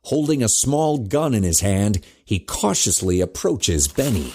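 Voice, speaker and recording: A man's voice: lowish voice, sing-song, measured pace, darkish tone, husky. A man reading scripted narration for a superhero audio drama in a dramatic storytelling manner. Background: music.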